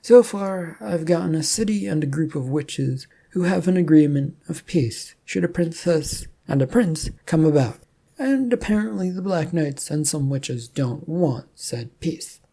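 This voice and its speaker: A woman's voice: low-pitched, lively intonation, measured pace, gravelly, warm tone, husky, voice pushed up, exaggerated voice. A woman voicing a blunt, weary male adventurer in an audio storybook, plain-spoken and sardonic. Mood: awkward, probing.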